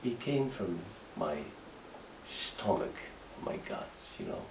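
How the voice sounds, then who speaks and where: A Moroccan man, a native speaker of Moroccan Arabic, talking in English with a Moroccan accent in a halting interview monologue, full of fillers and restarts. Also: low-pitched, very animated, vocal fry. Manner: hesitant, many fillers and restarts. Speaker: writer. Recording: echoey room, mic at a distance, faint background noise.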